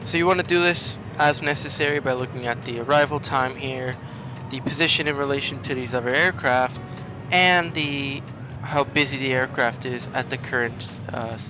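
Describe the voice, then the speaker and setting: A man's voice: medium pitch, normal speed, music in the background. A man hosting a gaming video, explaining a game step by step in tutorial-style commentary.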